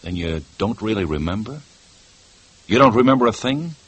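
An actor playing a relentless police lieutenant, talking in a clipped, demanding way in a 1950s radio drama. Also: male voice, fairly low pitch, expressive, moderate pace, husky. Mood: pressing. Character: hard-driving male police lieutenant, relentless.

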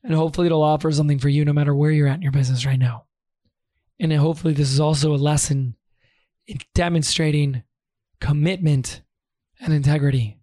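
A male podcast host who has lost his voice, talking hoarsely and with strain in a casual solo episode. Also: a little high, steady tone, a little fast, mellow, no room sound, clean studio sound. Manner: strained, pushing through pain.

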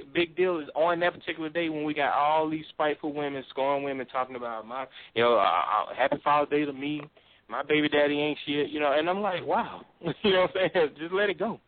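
A baritone man gives his opinion at a fast clip, pressing his points and laughing often. He talks with a dry, close sound. His voice comes through a thin phone line. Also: dry recording. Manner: emphatic, laughs often, repeats "you know what I'm saying". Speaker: guest or co-host on a call-in talk show. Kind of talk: casual opinionated chat.

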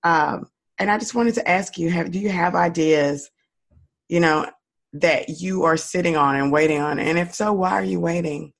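A woman hosting her own podcast alone, her voice raspy and hoarse from a cold, talking in an upbeat, encouraging way straight to her listeners. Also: fairly low pitch, moderate pace. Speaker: podcast host. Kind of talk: solo podcast monologue.

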